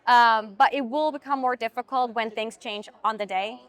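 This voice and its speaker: A young woman from Switzerland with a Swiss accent, an actress telling her story in a casual interview, chatty and animated. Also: fairly high pitch, normal speed. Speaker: actress, podcast guest.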